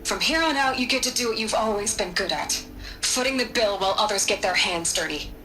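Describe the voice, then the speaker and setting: A woman's voice: mid-range voice, expressive, brisk, pushed and bright, slight background noise, low-quality recording. An actress voicing a ruthless woman scientist in a video game, speaking in a cool, controlled, commanding way. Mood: cold. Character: ruthless, icy woman scientist issuing an ultimatum. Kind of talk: video game cutscene acting. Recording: game audio with music underneath.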